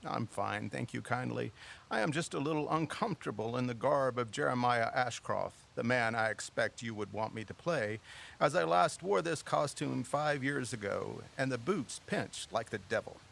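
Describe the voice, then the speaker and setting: A man's voice: mid-range voice, expressive, normal speed, gravelly, a bit bright, full character voice. An actor playing a stubborn, theatrical middle-aged father with a formal, old-fashioned way of talking in an audio drama. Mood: stiffly dignified.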